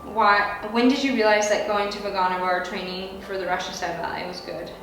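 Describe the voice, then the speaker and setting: A woman's voice: medium pitch, fairly even intonation, fairly quick, fairly bright tone, a little hiss or hum. Chatty American woman in an echoey room. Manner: chatty and upbeat, with frequent "like" and "you know" fillers. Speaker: ballet dancer answering viewer questions. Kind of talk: casual Q and A vlog. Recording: very echoey room, distant mic, music behind.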